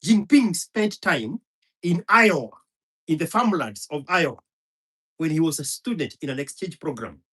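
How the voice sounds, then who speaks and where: Husky, heated man ranting. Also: fairly high pitch, lively intonation, normal speed, fairly bright tone. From Kenya. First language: Kikuyu. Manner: heated, profane, hammering repetition. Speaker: commentator. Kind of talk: impassioned monologue.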